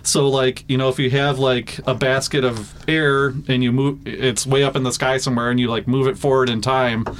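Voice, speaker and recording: A man working as a weather forecaster, chatting casually in a radio interview, full of fillers and quick laughs. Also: medium pitch, flat delivery, a little hiss or hum.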